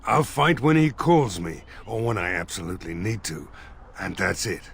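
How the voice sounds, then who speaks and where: A man's voice: deep voice, normal speed, gravelly, a bit bright, husky, full character voice. An actor playing a weary village militiaman who speaks bluntly and plainly.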